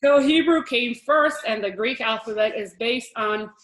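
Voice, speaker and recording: A woman's voice: a little high, unhurried, fairly bright tone. A woman in her thirties from Israel, a Hebrew teacher giving a chatty online lesson over a video call, explaining with frequent restarts and small laughs. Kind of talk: online lesson with shared slides. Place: room at home, video call. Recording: echoey room on a video call.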